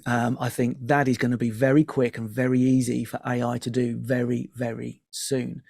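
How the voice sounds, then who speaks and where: A man speaking as an interview guest, explaining his creative trade conversationally, with emphatic repetition and pauses mid-sentence. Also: mid-range voice, level tone, creaky, a little mellow, dry recording, clean studio sound. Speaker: guest, composer and music producer.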